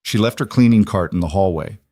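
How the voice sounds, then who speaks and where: A man reading a dramatic story as its narrator, in a low, steady voice. The recording is dry and close, with no room around it. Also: a little fast, no room sound.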